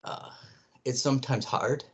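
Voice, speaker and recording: A man participant speaking in a halting, apologetic way. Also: medium pitch, slight background noise. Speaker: workshop participant.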